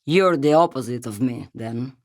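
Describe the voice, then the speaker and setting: Deep-voiced, haunted female long-haul driver. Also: animated, dry recording, clean studio sound. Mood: haunted reverie. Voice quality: very deep, androgynous for a woman. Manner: slow-musing, cryptic, poetic. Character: weathered, world-weary woman long-haul driver, dreamy and haunted. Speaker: video game voice actor. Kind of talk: dramatic game character monologue.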